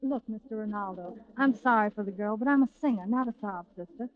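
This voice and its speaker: A woman's voice: mid-range voice, fast, lightly acted. An actress playing a blunt, ambitious American woman singer in a 1940s radio suspense drama. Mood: cold, defiant. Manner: blunt, brash, unsentimental. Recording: dull, lo-fi vintage radio recording.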